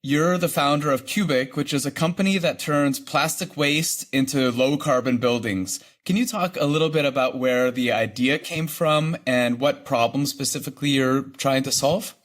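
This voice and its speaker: A man hosting an interview podcast, reading a scripted introduction and then asking relaxed questions. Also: medium pitch, moderate pace, few pauses. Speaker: podcast host.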